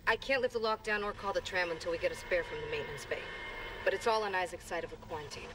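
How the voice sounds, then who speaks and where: Actress as tense young technician, noisy call. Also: female voice, fairly low pitch, quick pace, lightly acted. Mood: tense, explanatory. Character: tense, blunt young female repair technician. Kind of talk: naturalistic video game acting. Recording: video call with noisy background.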